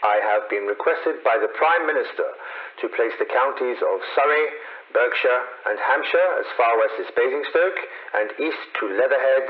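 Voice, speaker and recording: An actor playing a stern male army lieutenant reading an official statement in a clipped, formal way, with a gravelly voice, in a 1930s-style radio drama. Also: on the low side, close to an everyday voice. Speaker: army commander. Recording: tinny, narrow radio transmission.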